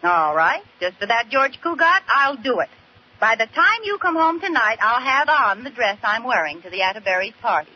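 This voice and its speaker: An actress playing a scatterbrained, stubborn young American housewife with comic theatrical delivery in 1940s radio comedy style. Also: female voice, on the high side, sing-song, normal speed. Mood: defiant. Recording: noisy, muffled old radio recording.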